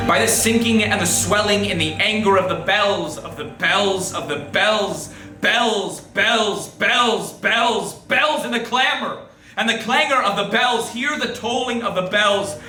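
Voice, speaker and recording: A man giving a dramatic, incantatory poetry recitation, drawing out repeated words theatrically. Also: high-pitched. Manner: theatrical, incantatory, drawn-out repetitions. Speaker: poetry performer. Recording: roomy echoing voice over faint music.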